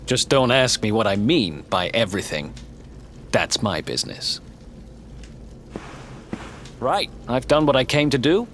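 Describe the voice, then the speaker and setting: A man's voice: mid-range voice, very animated, measured pace, pauses often, a bit bright, a little hiss or hum. A man playing a cocky young thief and loyal companion in a video game cutscene, brash and casual in manner. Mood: cocky, relieved. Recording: music behind the voice.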